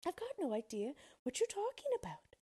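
An earnest, plucky little girl from a storybook, voiced by a woman. Her voice is very high and lively, and she speaks out with emphatic feeling. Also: brisk, heavily acted. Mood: cross. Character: brave, indignant little girl, earnest and kind-hearted.

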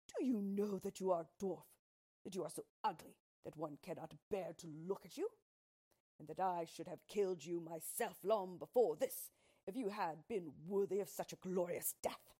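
A woman's voice: fairly low pitch, normal speed, dark tone, husky. A woman voicing a brave young king in a storybook tale, stern, commanding and scornful. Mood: indignant, scornful.

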